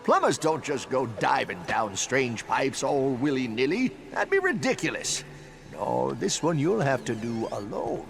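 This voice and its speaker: A man's voice: medium pitch, very animated, measured pace, gravelly, over music. An actor voicing a chatty, kindly old male plumber with a folksy drawl in a game cutscene. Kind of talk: video game cutscene acting.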